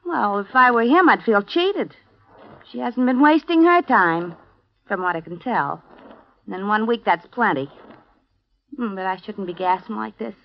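A woman's voice: medium pitch, expressive, a little slow, gravelly, long pauses. An actress playing a chatty, nosy young female maid with a wry, colloquial way of talking, in a vintage radio drama. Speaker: personal maid. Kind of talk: 1950s radio drama acting. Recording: dull lo-fi vintage radio broadcast.

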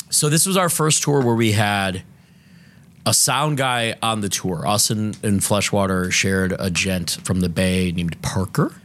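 A man's voice: fairly low pitch, unhurried, fairly bright tone, slight background noise. A man co-hosting a podcast, chatting casually and telling loose, profane stories full of fillers. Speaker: podcast co-host. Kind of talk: casual chat.

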